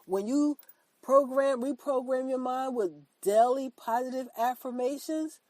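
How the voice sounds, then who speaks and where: Woman host, mellow stuffy voice from a cold, earnest repetitive motivational talk, dry close sound. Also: sits high, unhurried, no echo. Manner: earnest, repetitive, emphatic preaching; affirming herself with "that's right". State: congested from a cold. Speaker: podcast host.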